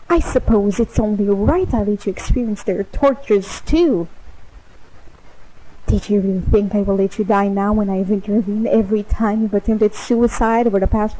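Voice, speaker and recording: A woman voicing a hateful, sadistic godlike supercomputer with a teenage god complex, taunting in a deliberate, mock-sweet dramatic monologue. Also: mid-range voice, warm tone, natural voice, rough recording. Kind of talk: dramatic monologue, fan voice acting of game lines.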